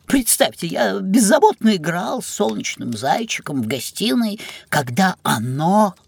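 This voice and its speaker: Dramatic cowardly talking cat, raspy, all over the place in pitch, courtly kids audio play stuff. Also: sing-song, measured pace, dry recording, heavily acted. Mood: breathless, dramatic storytelling. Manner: theatrical, exclaiming, hesitant, addresses listener as sir. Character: dramatic, cowardly, willful talking tomcat, courtly toward his master. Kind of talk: children's audio play acting.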